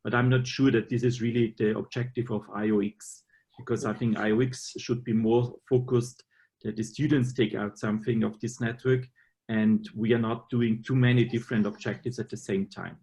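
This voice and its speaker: A man from Austria who heads a university teacher-training department, speaking in a video meeting in a measured, skeptical way with frequent restarts. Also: mid-range voice, fairly even intonation, a little mellow, slightly rough.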